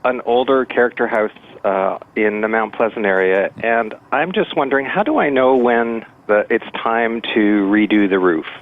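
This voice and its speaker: A man's voice: medium pitch, moderate pace, few pauses. A man calling in to a radio show with a casual question. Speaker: caller. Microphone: telephone. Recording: narrow tinny phone line.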